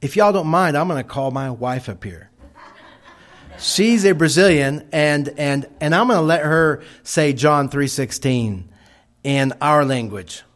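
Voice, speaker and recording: Middle-aged Texan man, unhurried missionary report to a congregation, plain homespun delivery. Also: on the high side. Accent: Texas. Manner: slow, folksy, halting with many restarts.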